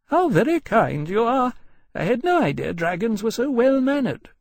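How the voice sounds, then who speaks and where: Man doing a high-voiced genteel older lady. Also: big pitch swings, normal speed, gravelly, dry recording, studio quality, exaggerated voice. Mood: fond. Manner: genteel, mannered, gracious. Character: genteel older mother, gracious aristocratic lady.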